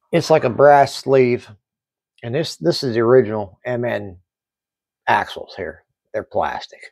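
A middle-aged man giving a casual hands-on hobby review in halting, explanatory short phrases. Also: medium pitch, darkish tone, dry recording, lo-fi sound. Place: small indoor hobby workbench.

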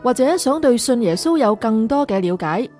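Higher-pitched female announcer over clear music. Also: animated, normal speed, mellow. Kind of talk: scripted hotline announcement.

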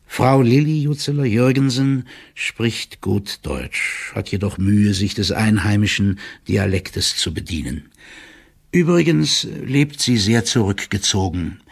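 A man playing an older, loyal court clerk who delivers a confidential report in a formal, measured and officious manner, as in an audiobook drama. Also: on the low side, creaky, slightly rough, exaggerated voice. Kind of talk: dramatised first-person reading of a formal written report.

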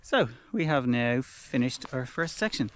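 A man's voice: mid-range voice, animated, measured pace, fairly bright tone, slight background noise. A man in his fifties narrates his fencing work in a cheerful, joking way, filmed outdoors in a rural garden on a handheld camera. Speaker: vlogger. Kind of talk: casual home-renovation vlog. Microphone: action camera held close.

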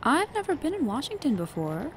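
Casting: actress for possessive, coaxing witch, animated intonation, 1940s radio-thriller style, sweetly threatening. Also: high voice, brisk, slight background noise. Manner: coaxing, sugary, threatening endearments. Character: sweetly menacing, jealous, possessive immortal witch wife. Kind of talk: retro 1940s radio drama acting.

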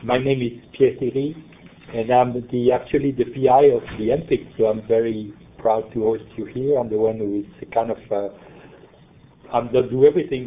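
A man from Belgium, a native French speaker, giving a conference presentation, talking haltingly with many fillers and restarts. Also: medium pitch. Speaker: conference presenter, center principal investigator. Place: conference room. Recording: tinny phone-like conference recording.

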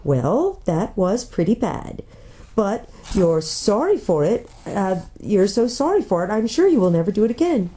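A woman's voice: medium pitch, very animated, fairly quick, few pauses, warm tone, voice pushed up, natural voice. A woman voicing a kind, anxious little girl in a children's storybook, polite, earnest and pleading. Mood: kindly reassuring. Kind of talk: dramatised children's storybook reading.

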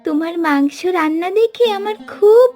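A very high, childlike cartoon voice: a poor little village girl, wistful and pleading. She sounds small and young, with music behind her. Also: expressive, moderate pace, a little mellow. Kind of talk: cartoon fairy tale voice acting.

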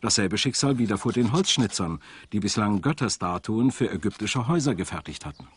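A man's voice: lowish voice, expressive, fairly quick, a little hiss or hum. A man reading scripted narration for a documentary as a studio voice-over. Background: music.

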